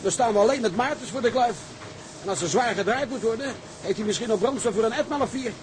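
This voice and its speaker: Wry, high-voiced old sea hand. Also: male voice, fast, background noise. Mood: wry, fatalistic. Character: seasoned male ship's officer, calm, wry and fatalistic. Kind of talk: Dutch maritime radio drama acting.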